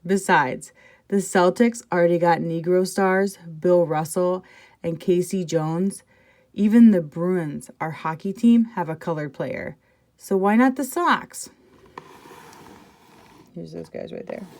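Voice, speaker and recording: A woman's voice: on the low side, takes it slowly, a little mellow. A woman teacher reading a children's picture book aloud expressively, with casual asides to her listeners.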